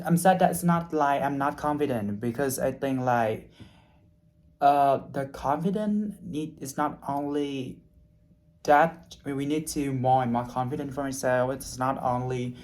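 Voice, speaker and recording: A young man, not a native English speaker, talking through his hospitality internship in a halting way, with many restarts and fillers as he searches for words. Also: mid-range voice, a little mellow. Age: young adult. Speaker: hospitality trainee. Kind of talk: unscripted personal video reflection.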